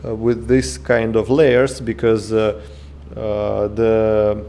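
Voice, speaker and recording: A man in his thirties with a Russian accent, talking through his workshop in halting English full of fillers and restarts, recorded on an action camera indoors. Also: lowish voice, completely flat delivery, dark tone, a little hiss or hum. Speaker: maker vlogger. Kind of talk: casual workshop vlog. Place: home workshop room, indoors. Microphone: action camera held or mounted close.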